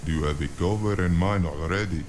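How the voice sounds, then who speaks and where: A man playing an amused, condescending underworld broker in a noir audio drama, with an unhurried, patronizing delivery. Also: deep voice, close to an everyday voice. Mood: businesslike. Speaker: voice actor. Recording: clearly noisy with ambient sound behind.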